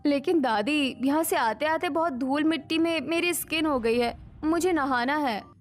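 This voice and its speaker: Pampered young city bride played by a woman for a cartoon tale: high pitch, pouty, light music behind. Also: moderate pace, close to an everyday voice. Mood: pleading, whiny. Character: spoilt, pouting young city daughter-in-law. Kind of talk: Hindi animated moral story dialogue.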